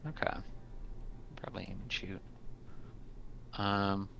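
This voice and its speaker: A man plays a tabletop game over voice chat, speaking casually. His fairly low voice has a bright, tense edge. The connection sounds dull and lo-fi. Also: level tone, slow pace, creaky, slight background noise.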